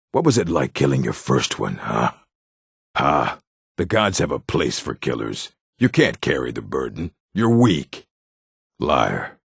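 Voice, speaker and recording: An actor playing a hardened orc convict in a video game, cold, blunt and menacing, with a gravelly voice. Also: male voice, rumbling low, no room sound, studio quality, heavily acted. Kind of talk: video game character acting.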